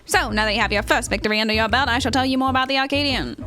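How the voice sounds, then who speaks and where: A woman's voice: on the high side, racing, sharp tone, soft background music. A woman reading out game dialogue as a polite, theatrical arena promoter and commentator, formal and showy. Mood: pleased, explaining.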